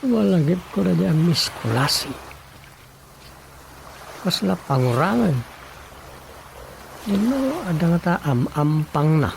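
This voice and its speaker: Slow-talking comic village chairman. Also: a little high, gravelly, background noise. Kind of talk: Ilocano radio drama comedy acting.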